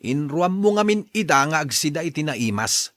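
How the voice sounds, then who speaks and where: A proud, short-tempered husband in a radio drama. His Ilocano-accented speech is bright and forceful, with very animated pitch that sits fairly high for a man, recorded close and dry. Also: moderate pace, dry recording. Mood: suspicious. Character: proud, stern husband and father, short-tempered about money.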